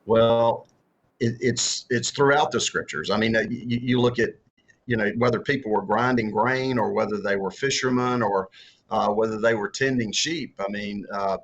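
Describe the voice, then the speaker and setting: A man's voice: fairly low pitch, normal speed, muffled recording. A middle-aged man with a strong Southern US accent who answers interview questions about faith and leadership, stumbling and repeating words with many fillers. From Deep South of the US. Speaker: author and former political candidate.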